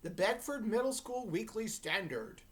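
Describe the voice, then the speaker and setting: A woman teacher giving an informal read-aloud lesson, explaining things in an unhurried way and checking that listeners follow. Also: mid-range voice, lively intonation. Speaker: English teacher reading aloud to students.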